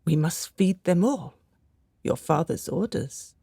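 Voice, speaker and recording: A fussing, devoted maid played by a woman in a radio drama. She has a low, husky, mellow voice and takes her time, fretting deferentially over her mistress. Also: expressive, slow, dry recording, clean studio sound, heavily acted. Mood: fussing, soothing. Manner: fretful, deferential, exclaiming. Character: fussing, pious, devoted lady's maid to a noblewoman.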